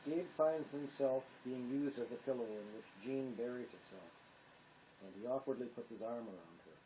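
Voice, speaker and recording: A man's voice: mid-range voice, normal speed, mellow. A man narrating a play, reading its stage directions as scripted narration. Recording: noisy amateur recording.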